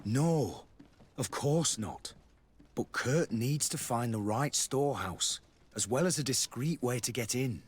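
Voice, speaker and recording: Husky, worldly army quartermaster. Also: male voice, medium pitch, lively intonation, unhurried. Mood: matter-of-fact. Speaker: military quartermaster. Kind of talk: video game voice acting.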